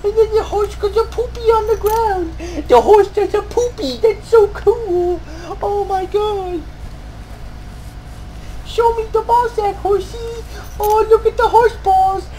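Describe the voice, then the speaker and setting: A woman's voice: extremely high pitch, slow, warm tone, voice pushed up, rough recording. A woman voicing a childish, mindless game fan, gushing with babyish mock awe. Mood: mock delight. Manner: babyish, squealing, mock-awestruck.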